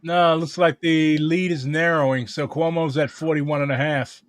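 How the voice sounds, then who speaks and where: A man's voice: on the high side, steady tone, moderate pace, no room sound, clean studio sound. A man casually reading out election returns to friends and cracking crude jokes. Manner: crude, wisecracking. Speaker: watch party co-host. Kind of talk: casual commentary on results.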